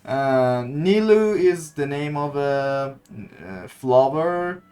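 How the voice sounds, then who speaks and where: Male streamer, unhurried and halting, thinking out loud over faint game music. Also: on the high side, smooth. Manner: hesitant, thinking aloud, repeating words. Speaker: gaming streamer. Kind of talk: casual gameplay commentary.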